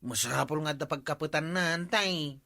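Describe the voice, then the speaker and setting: An actor playing an anxious villager bringing urgent news in a comedy radio drama. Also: male voice, fairly high pitch, moderate pace, vocal fry, pushed and bright.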